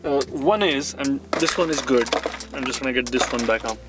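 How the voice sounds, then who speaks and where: A man's voice: medium pitch, a little fast, far from the mic, poor audio. Man a little off-mic, music behind. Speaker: camera operator on the crew. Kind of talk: casual chat.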